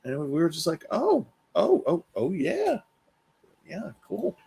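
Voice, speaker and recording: A man's voice: high voice, huge pitch swings, slow, vocal fry, warm tone, breathy edge. A man co-hosting a panel, telling things in casual, conversational chat. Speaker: panel co-host.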